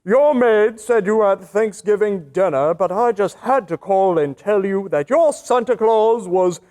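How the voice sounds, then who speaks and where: An excitable department store manager, played by a man in old radio style. He gushes with theatrical enthusiasm, savouring his praise. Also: sits high, a little slow. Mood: gushing. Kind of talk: old-time radio drama acting.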